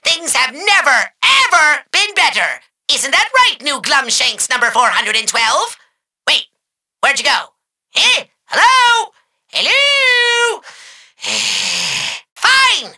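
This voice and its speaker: A man playing a pompous, short-tempered evil overlord in a video game, ranting in a shrill, grandiose, theatrical way. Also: huge pitch swings, slow, pushed and bright, heavily acted. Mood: defensive, then irritated. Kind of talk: exaggerated cartoon villain monologue.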